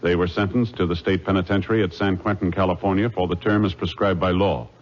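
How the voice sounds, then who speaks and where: A man announcing in a formal, measured, official manner, in the style of 1950s radio narration. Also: low voice, fairly even intonation. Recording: muffled 1950s radio recording.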